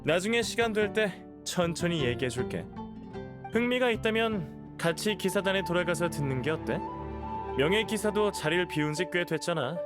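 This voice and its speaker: Game role: young knight captain, lightly pitched, smooth-talking, playful and breezy, over a musical bed. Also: male voice, lively intonation, music in the background. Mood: teasing. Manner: breezy, teasing, unhurried. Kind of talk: animated game dialogue acting.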